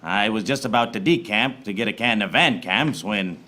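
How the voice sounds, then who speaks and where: An actor playing a wry, hard-boiled private eye who narrates a parody noir radio serial in deadpan, recorded live on stage. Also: male voice, lowish voice, moderate pace. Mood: flustered deadpan. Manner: deadpan pun-laden monologue. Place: small theater stage before live audience.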